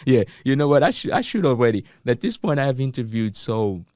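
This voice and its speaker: A man's voice: mid-range voice, sing-song, fairly quick, a little mellow, no echo. A middle-aged man hosting a studio interview, upbeat and chatty, repeating phrases and laughing often. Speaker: interview host.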